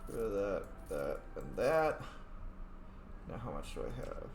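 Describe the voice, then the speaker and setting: A man's voice: on the low side, big pitch swings, unhurried. A tired man casually commenting as he plays a video game, muttering to himself. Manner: mumbling, talking to himself while playing. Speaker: game streamer. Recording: roomy with clear background noise.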